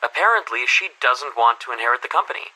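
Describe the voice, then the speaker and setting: Husky, very bright-voiced troubled headmaster. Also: male voice, mid-range voice, expressive, fast, poor audio. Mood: troubled, confiding. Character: anxious, troubled middle-aged male school headmaster. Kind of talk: dramatic recorded confession monologue.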